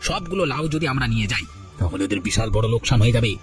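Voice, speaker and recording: A man's voice: mid-range voice, lively intonation, very fast, natural voice. A man voicing a scheming servant in a cartoon, sly and spiteful toward the neighbours. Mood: insistent. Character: scheming servant egging on his boss. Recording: music under the voice.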